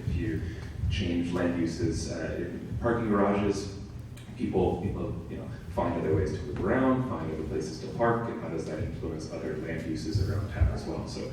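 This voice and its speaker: A man's voice: deep voice, moderate pace, background noise. A man, sick and losing his voice, facilitating a public meeting in an informal way with many fillers. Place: large meeting room. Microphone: handheld mic from afar. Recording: echoey hall, distant mic, crowd.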